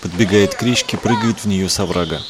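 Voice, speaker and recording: A man reading scripted audio description of a film in a flat, neutral way. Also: low-pitched, quick pace, very noisy.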